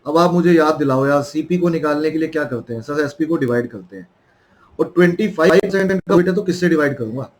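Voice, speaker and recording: A man's voice: on the high side, fast, a crowd in the background. A young man with an Indian accent and a sore throat, teaching a math lesson in a coaxing way and calling his students children.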